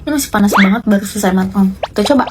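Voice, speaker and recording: A woman's voice: medium pitch, very fast, noisy background. A woman gives a casual tasting review. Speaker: food reviewer. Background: prominent music.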